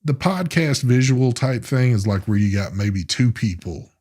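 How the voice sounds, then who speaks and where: A man's voice: mid-range voice, expressive, moderate pace, a little mellow. A man, co-hosting a live online show in a loose, rambling way, cussing casually. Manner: laid-back, cussing, rambling. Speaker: co-host of a live vape show. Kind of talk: casual live-stream chat.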